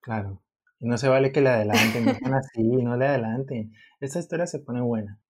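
A man's voice: mid-range voice, fairly quick, breathy edge. Young man, podcast co-host, playful and joking in casual talk. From Colombia. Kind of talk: casual chat.

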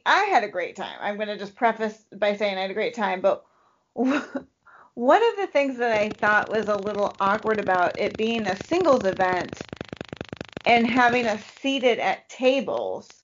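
A woman's voice: mid-range voice, very animated, a little slow. A woman co-hosting a podcast, telling stories in a casual, chatty way with "like" sprinkled all through. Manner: chatty, uses "like" constantly. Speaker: podcast co-host. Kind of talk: casual conversational storytelling. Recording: dull lo-fi podcast audio.

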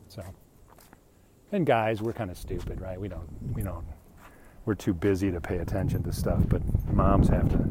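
A man's voice: on the low side, background noise. A man in his fifties talking casually on a solo podcast, rambling and self-deprecating, often tossing in "right?". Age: 50s. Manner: chatty, self-deprecating, frequent "right?" asides.

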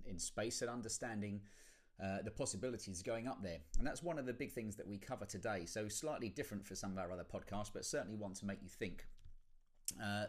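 The fairly deep-voiced bloke who opens a weekly podcast by joking at his own expense before the serious guest comes on. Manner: tongue-in-cheek, self-deprecating. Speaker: podcast host. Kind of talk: casual scripted podcast introduction.

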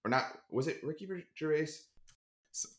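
A man as a podcast guest in casual chat, rambling with dark deadpan jokes over a video call. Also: a little high, brisk.

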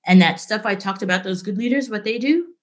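A middle-aged woman talks through her career stories with lively energy, tossing in "like" and "right?" as she goes. Her voice sits at an ordinary middle pitch. She sounds relaxed and candid, like she is chatting rather than presenting. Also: a little fast. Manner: animated, frequent "right?" and "like", laughs easily. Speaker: interview guest, business leader. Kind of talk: candid conversational storytelling.

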